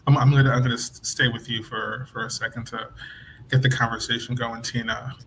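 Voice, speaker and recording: A man hosting an interview, asking informal questions in a halting way and often repeating words. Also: mid-range voice, level tone, a bit bright, rough recording. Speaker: interview host. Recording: faint background noise.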